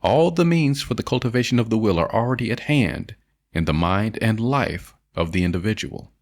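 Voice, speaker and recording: A man narrating an audiobook of an old self-help essay in a solemn, deliberate manner, stressing phrases and drawing out their endings. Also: lowish voice, lively intonation.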